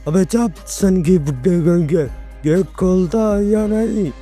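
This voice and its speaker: A man voicing a menacing blood-drinking demon in an animated horror story, giving repeated, taunting commands. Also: high voice, unhurried, faint music behind. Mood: threatening. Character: menacing male blood-drinking demon who hypnotises and threatens.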